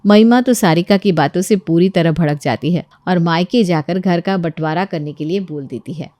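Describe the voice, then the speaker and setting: A woman's voice: on the low side, normal speed, mellow, no room sound. Woman narrating stories, dry close sound. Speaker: story narrator. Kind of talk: scripted story narration.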